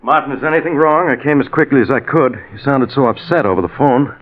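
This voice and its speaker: An actor playing a concerned, puzzled doctor, stammering a little in 1940s radio drama style. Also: male voice, medium pitch, quick pace, faint music behind, lightly acted. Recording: dull lo-fi old radio recording.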